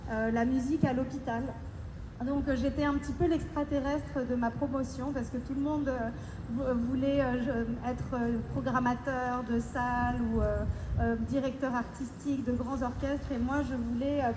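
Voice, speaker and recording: A woman with an accent from France speaks to a concert audience between pieces, halting, with many fillers and restarts. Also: high voice. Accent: French (France). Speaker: musician addressing a concert audience. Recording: echoey live concert recording with background noise.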